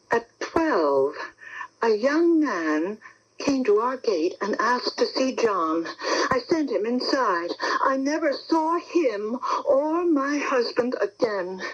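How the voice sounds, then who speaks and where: A woman playing a grieving, haunted wife in a 1970s radio drama, speaking deliberately on a dull AM broadcast. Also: a little high, heavily acted. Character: grieving, haunted wife recounting her husband's disappearance.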